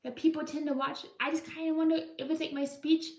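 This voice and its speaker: A young woman hosting a live art stream, chatting casually and rambling with many restarts in a high-pitched voice. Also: level tone, fast. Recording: roomy echo, mic far off.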